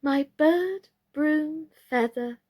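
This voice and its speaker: A woman voicing a scatterbrained, excitable female doll in a children's storybook, who stammers and repeats words. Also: high-pitched, extremely slow, voice pushed up. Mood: muddled wishing.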